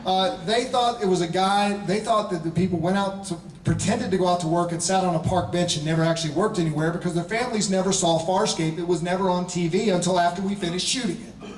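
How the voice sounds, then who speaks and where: Male convention panelist, 40s, animated raconteur, high-ish voice, distant and roomy. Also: quick pace. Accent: Charlotte, North Carolina. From North Carolina, US. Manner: animated storyteller, laughing, playful asides. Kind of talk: live convention Q&A. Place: large convention hall. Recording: echoey hall from far off.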